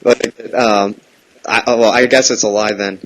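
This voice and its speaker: A man's voice: on the low side, steady tone, a little slow, fairly bright tone, low-quality recording. A man calling on the phone with casual, meandering questions, hesitant in delivery but persistent. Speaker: prank caller. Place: phone call.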